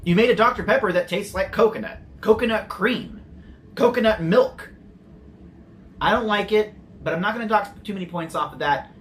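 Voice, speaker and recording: A man's voice: high-pitched, normal speed, fairly bright tone, a little hiss or hum. A man casually reviewing sodas on his channel, chatty and prone to rambling tangents, with the odd swear word and no regional accent. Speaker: soda reviewer.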